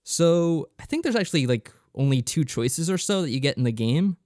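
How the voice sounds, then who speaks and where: A man's voice: a little high, lively intonation, normal speed, darkish tone, no room sound, studio quality. A man co-hosting a podcast, explaining things in a casual, loosely phrased review style. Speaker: podcast co-host.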